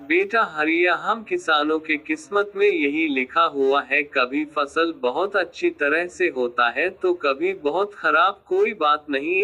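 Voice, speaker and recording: A man's voice: fairly high pitch, pushed and bright, muffled recording, heavily acted. A man voicing a patient, consoling older village uncle in a Hindi story cartoon, in an avuncular manner.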